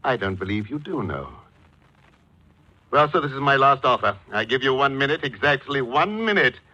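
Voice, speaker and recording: An actor playing a florid, courteous and menacing blackmailer with pompous, theatrical diction in a 1940s radio mystery. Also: male voice, medium pitch, sing-song, moderate pace, sharp tone. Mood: insistent, threatening. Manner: pompous, elaborately polite, theatrical. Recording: dull 1940s radio recording.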